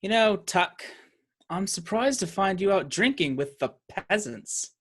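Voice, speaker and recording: An actor playing a pompous, menacing, domineering high sheriff in a radio play. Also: male voice, animated, a little slow, husky, exaggerated voice. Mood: smug. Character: haughty, menacing High Sheriff of Nottinghamshire, male.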